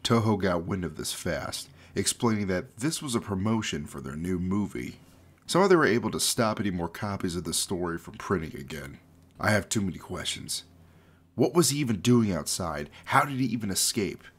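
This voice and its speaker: Low-voiced, animated young man. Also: normal speed, breathy edge. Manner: informal, jokey asides. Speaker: YouTube host explaining a horror series. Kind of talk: casual commentary with dramatic read-out passages.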